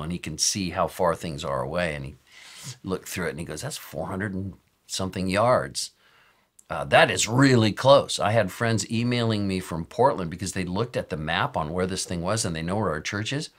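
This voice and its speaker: Low, slightly raspy older American pastor. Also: male voice, a bit bright. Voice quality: a little raspy. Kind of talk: informal sermon teaching. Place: office or study with bookshelves, indoors.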